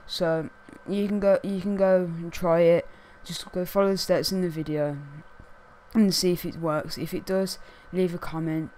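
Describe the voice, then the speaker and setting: Young guy with a croaky losing-it voice, kinda high, rambly with loads of "what's it called". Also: steady tone, moderate pace, darkish tone, a little hiss or hum. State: hoarse. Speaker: gaming YouTuber. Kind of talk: casual chat to camera.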